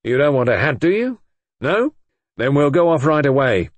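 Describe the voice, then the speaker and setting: A man's voice: mid-range voice, big pitch swings, moderate pace, no room sound, pitched above the performer's own voice. A man playing a curt police detective in an audiobook, speaking in a formal, official manner. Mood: firm, brisk. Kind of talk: audiobook character voice.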